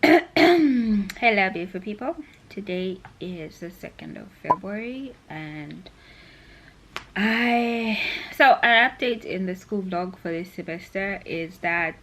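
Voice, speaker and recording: A woman's voice: mid-range voice, a bit bright. A young woman from Zambia talking casually to camera like a vlogger, in a chatty, rambling way that often doubles back on itself. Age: young adult. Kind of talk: casual vlog chat to camera.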